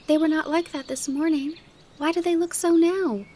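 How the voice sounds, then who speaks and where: Woman as little storybook girl, high voice, excitable, curious, eager questioning. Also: moderate pace, a little hiss or hum, close to an everyday voice. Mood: surprised, eager. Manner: breathless exclamations and eager repeated questions.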